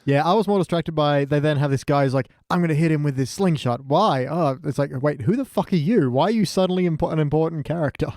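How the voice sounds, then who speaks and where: A man's voice: on the high side, quick pace, few pauses, a little mellow, no room sound, clean studio sound. A man talking casually as a podcast panellist, giving rambling, sometimes sweary critique. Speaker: podcast panellist reviewing cartoon episodes. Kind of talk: casual chat.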